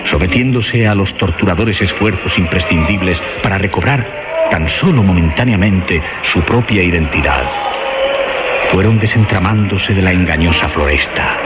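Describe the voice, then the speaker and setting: A man's voice: deep voice, background noise. A man narrating a scripted horror radio drama in a solemn, literary storytelling manner. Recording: dull lo-fi track under loud music.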